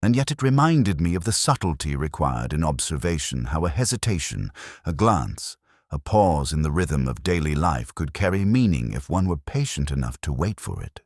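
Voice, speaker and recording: A man playing a brooding, observant police inspector, narrating an audio mystery drama in measured, literary, contemplative phrases. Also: extremely low pitch, sing-song, dry recording, studio quality, exaggerated voice. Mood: brooding, watchful.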